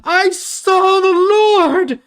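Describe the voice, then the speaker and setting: A man's voice: very high-pitched, very slow, fairly bright tone, pitched above the performer's own voice, full character voice. A man voicing a distraught, urgent grieving woman in a dramatized scripture story, with emotional, emphatic delivery. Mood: excited.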